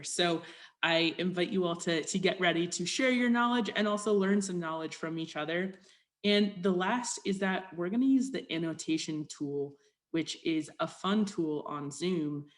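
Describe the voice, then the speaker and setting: A woman presenting an online workshop over a video call in a conversational, informal way, inviting people to join in. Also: fairly low pitch. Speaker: workshop presenter, health promotion specialist.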